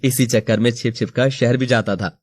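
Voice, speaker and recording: An actor playing a troubled, jealous young husband in an audio story drama, earnest and confused. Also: male voice, mid-range voice, steady tone, moderate pace, close to an everyday voice. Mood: confused, explaining. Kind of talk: acted Hindi audio story dialogue.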